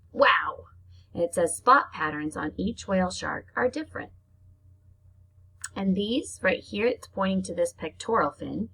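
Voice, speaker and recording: Husky woman reading to children. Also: on the low side, fairly bright tone, low-quality recording. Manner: simple, child-directed explaining, slow deliberate reading alternating with chatty asides. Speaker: elementary teacher reading to pupils. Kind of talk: children's read-aloud lesson with explanations.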